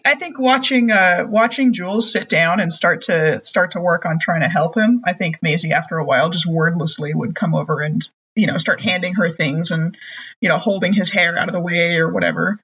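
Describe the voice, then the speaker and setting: A woman's voice: on the low side, moderate pace, a bit bright, breathy edge, muffled recording. A woman chatting casually as a tabletop game player. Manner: chatty, laughs easily.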